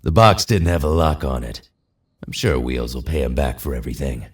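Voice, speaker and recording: A man playing a gruff superhero loner, menacing yet flirtatious, with a rough gravelly voice in an audio drama. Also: very deep voice, expressive, moderate pace, heavily acted. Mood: flirty.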